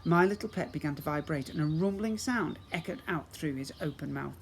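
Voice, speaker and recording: A man giving an expressive storybook narration, as a teacher reads to young children. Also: fairly high pitch, fairly quick. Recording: clear background noise.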